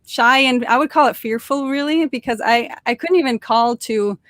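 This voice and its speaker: A woman's voice: on the high side, moderate pace, fairly bright tone, no echo. A young adult woman born in Norway and raised near Detroit, chatting cheerfully as a podcast guest, with frequent fillers and restarts. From born in Norway, raised in suburban Detroit, Michigan. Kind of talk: casual interview storytelling.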